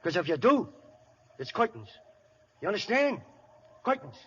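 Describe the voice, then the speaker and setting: A man's voice: high-pitched, sing-song, normal speed, fairly bright tone. An actor playing a cocky, threatening small-time American gangster with slangy wise-guy talk in an old radio play. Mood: menacing. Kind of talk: 1930s-40s radio gangster acting. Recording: muffled, hissy old radio broadcast.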